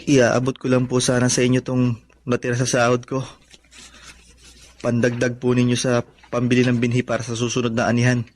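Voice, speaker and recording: A man's voice: medium pitch, completely flat delivery, fairly quick, long pauses, a little mellow, lightly acted. Actor as an earnest, humble young man. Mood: tender. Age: young adult. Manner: polite, sincere. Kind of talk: radio drama acting.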